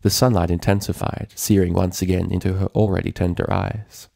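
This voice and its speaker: A man with a deep, creaky voice narrates a story from a script. The recording is close and dry, with very little room sound. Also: level tone, brisk, dark tone, no room sound. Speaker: fan fiction reader.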